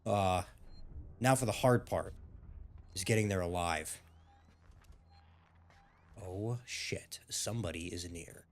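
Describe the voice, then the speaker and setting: A man's voice: low voice, slow, pauses often. Brief: male gaming YouTuber, a bit under the weather and losing his voice, rambling and hesitant between moves. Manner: rambling, hesitant, with frequent restarts and fillers. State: a little ill, losing his voice. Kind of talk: casual playthrough commentary.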